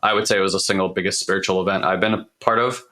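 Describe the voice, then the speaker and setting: Hoarse, bright-toned man talking earnestly. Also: low voice, steady tone, racing. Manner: rambling, restarts often, earnest. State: voice partly lost, hoarse. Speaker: podcast co-host. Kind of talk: casual spiritual coaching talk.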